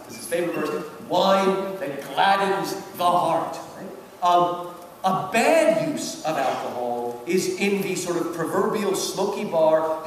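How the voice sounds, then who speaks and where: A man giving a public lecture, emphatic and talkative, punctuating his points with questions. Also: high voice. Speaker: lecturer giving commentary on a literary work. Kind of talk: animated public lecture with quoted readings. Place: large hall at a public event. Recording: very echoey hall, far off, faint noise.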